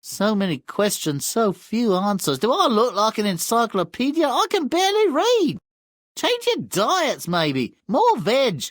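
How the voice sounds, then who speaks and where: Swooping, sardonic crone. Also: male voice, very high voice, big pitch swings, unhurried, a bit bright, dry recording, studio quality, heavily acted. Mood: mocking, dismissive. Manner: mocking, brusque. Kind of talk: theatrical video game cartoon acting.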